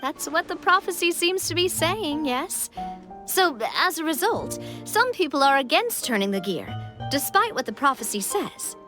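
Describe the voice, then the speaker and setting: Young female game leader: high, lively voice, gracious, self-assured, earnest. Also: moderate pace, soft background music, full character voice. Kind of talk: video game cutscene acting.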